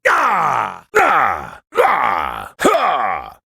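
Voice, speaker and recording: Cocky show-off executioner game champion, raspy sharp voice, super animated, slow and swaggery, dry studio sound. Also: male voice, mid-range voice, no room sound, exaggerated voice. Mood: gleeful exertion. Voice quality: gravelly, raspy. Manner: swaggering, boastful showman. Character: cocky, showboating male executioner game champion, gleefully arrogant. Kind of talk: video game character voice lines.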